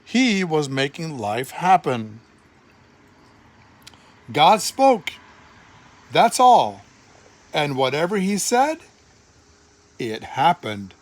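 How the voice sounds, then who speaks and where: An older man, a grandfather, reading a children's storybook aloud with dramatic, deliberate, expressive phrasing. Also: on the high side.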